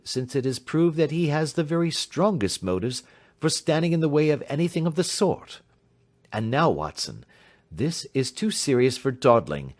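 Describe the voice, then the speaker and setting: A man voicing a cool, imperturbable gentleman detective in an audiobook, suave and precise, with quiet amusement. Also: mid-range voice, voice pushed up, natural voice. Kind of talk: audiobook character acting.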